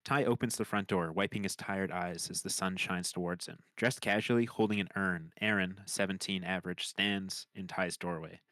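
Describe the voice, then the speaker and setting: A man with a low voice narrates scene descriptions from a screenplay at a table read. The sound is dry, with no room echo, and the reading is scripted. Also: fairly even intonation, moderate pace, hardly any pauses, a bit bright. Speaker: narrator reading stage directions.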